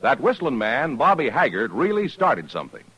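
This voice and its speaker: A man's voice: mid-range voice, wildly swooping pitch, moderate pace, a bit bright, breathy edge, highs cut off. An American man announcing a radio advertisement in polished 1950s style. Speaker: commercial announcer.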